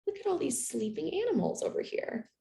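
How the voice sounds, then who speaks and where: A woman reading a children's picture book aloud in an expressive, animated storytelling manner for young listeners. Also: high-pitched, fairly quick, vocal fry. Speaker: storytime reader. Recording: roomy, echoey room sound.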